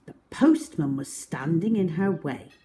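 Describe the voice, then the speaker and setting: A woman reading a picture book to young children as a storyteller, with dramatic pauses and emphasis, speaking directly to her listeners. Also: deep voice, animated, mellow, a little hiss or hum.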